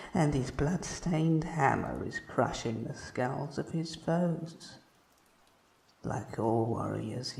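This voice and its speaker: A man's voice: medium pitch, gravelly, darkish tone, exaggerated voice. A man playing a stern, foreboding mystic, portentous in a fantasy monologue. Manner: slow, portentous. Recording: echoey room.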